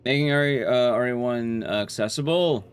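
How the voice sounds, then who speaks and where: A man's voice: mid-range voice, normal speed, clear, resonant tone. A man livestreaming a video game, giving casual gameplay commentary while chatting with his viewers.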